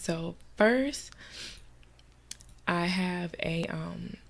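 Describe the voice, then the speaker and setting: A woman talks in a low, gravelly voice that sounds stuffy and a bit nasal. She rambles casually with plenty of fillers and sometimes drops to a soft, breathy murmur, like a home tutorial voice-over. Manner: chatty, many fillers, soft at times. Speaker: gaming vlogger. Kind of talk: casual screen-recorded tutorial chat.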